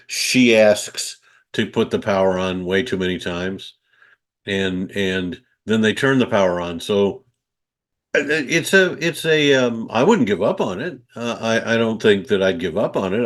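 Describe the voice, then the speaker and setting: A man giving off-the-cuff critique as a reviewer, halting and hesitant, often repeating his phrases. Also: lowish voice. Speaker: film industry reviewer.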